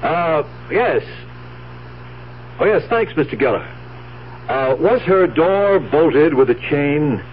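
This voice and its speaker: A smooth, scheming nephew, muffled radio. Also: male voice, a little high, lively intonation, takes it slowly, long pauses, a little hiss or hum. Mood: falsely concerned. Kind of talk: 1970s radio drama acting. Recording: muffled, humming old radio recording.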